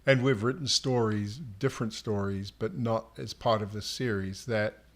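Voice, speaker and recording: A man co-hosting as an author, explaining things to readers in a casual, halting way. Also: fairly low pitch, fairly even intonation. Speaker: author and livestream co-host.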